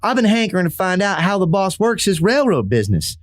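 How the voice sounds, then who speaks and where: A woman plays a naive young cowboy outlaw in a Western radio drama. The voice is inquisitive and folksy, American, with lively movement in pitch. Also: a little fast, close to an everyday voice. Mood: inquisitive, puzzled. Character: curious, naive young male outlaw underling. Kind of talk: Western radio play acting.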